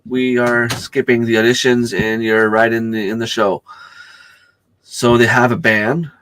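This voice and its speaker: A middle-aged man with a hoarse voice from a cold, chatting casually on a home live stream and greeting viewers by name. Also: medium pitch, level tone, measured pace, a bit bright, no echo, poor audio. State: losing his voice from a cold. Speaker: channel host.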